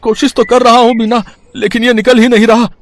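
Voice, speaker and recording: An actor playing a young husband caught on the rail tracks, speaking in a strained, pleading way in a cartoon story. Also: male voice, extremely high pitch, flat delivery, a little fast. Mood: panicked. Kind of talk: Hindi cartoon voice acting.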